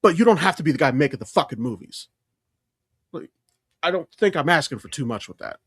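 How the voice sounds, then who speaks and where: A man's voice: a little high, sing-song, fairly quick, fairly bright tone, breathy edge, dry recording, clean studio sound. A man co-hosting a podcast in casual conversation, blunt and opinionated, swearing freely as he comments. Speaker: podcast co-host. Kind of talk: casual chat, film commentary.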